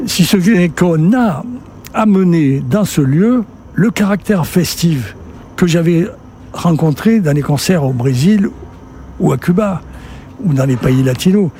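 A man's voice: on the high side, lively intonation, a little slow, mellow, slight background noise. An older man from southern France, a festival director telling his story conversationally in an interview, rambling and repeating words as he goes. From Sète, southern France. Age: 60s or older.

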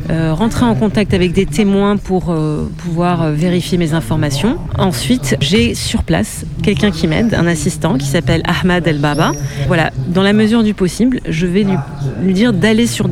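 A woman journalist answering interview questions in a measured, thoughtful way, often pausing to hesitate. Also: lowish voice.

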